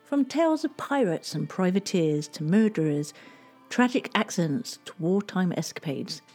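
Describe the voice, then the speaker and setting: A woman narrates a history podcast in a low, mellow voice. Her intonation moves a lot as she tells each story in a measured, scripted way. Faint music plays underneath. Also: sing-song.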